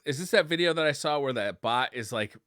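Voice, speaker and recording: A man's voice: mid-range voice, lively intonation, fairly quick, a bit bright, a little hiss or hum. A man co-hosting a podcast in a casual, conversational style. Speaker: podcast co-host.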